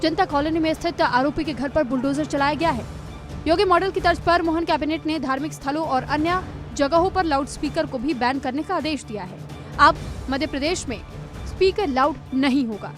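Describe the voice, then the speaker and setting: Female news narrator, high register, scripted bulletin delivery, clear music bed underneath. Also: normal speed. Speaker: news presenter.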